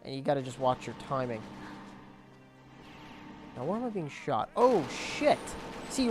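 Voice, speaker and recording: Chatty young man with cracking voice. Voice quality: voice cracks and squeaks at times. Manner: chatty, explains objectives as he plays. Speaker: gameplay commentator. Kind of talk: casual let's play commentary.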